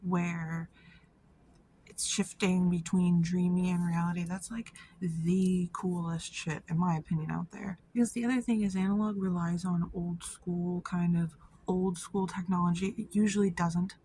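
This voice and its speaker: A woman's voice: fairly low pitch, level tone, measured pace, warm tone, slight background noise. A woman giving casual vlog commentary in an informal, slangy way, peppered with "like" and swearing. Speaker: video creator.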